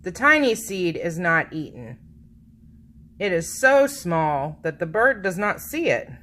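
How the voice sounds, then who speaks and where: Expressive, bright-voiced woman reading a children's story. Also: on the low side. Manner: expressive, dramatic emphasis with drawn-out words. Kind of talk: read-aloud picture book narration.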